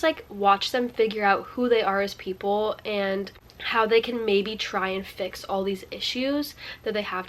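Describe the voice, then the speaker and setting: A young woman with a stuffy, cold-clogged voice talks to camera at home. She gushes in a bubbly, casual way, with plenty of likes and ums. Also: medium pitch. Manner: bubbly, gushing, filler-heavy. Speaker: book vlogger. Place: indoors at home by a sunny window.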